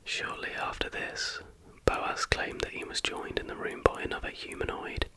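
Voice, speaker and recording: A man telling stories and commenting in a soft, breathy ASMR whisper, with deliberate delivery. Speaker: ASMR host.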